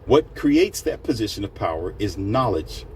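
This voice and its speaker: A man's voice: lowish voice, sing-song, normal speed, a little mellow, a little hiss or hum, poor audio. A man hosting his own podcast, rambling in a casual, slangy storytelling monologue. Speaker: podcast host.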